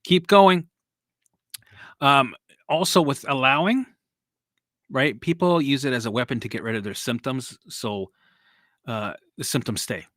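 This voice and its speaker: Bright-voiced man coaching on a live stream. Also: mid-range voice, unhurried, long pauses, dry recording, studio quality. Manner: rambling and repetitive, swears casually, laughs at himself.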